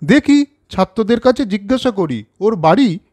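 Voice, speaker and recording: A man's voice: sits high, expressive, normal speed, darkish tone, husky. A man voicing a kind, earnest young coaching teacher in a storybook cartoon.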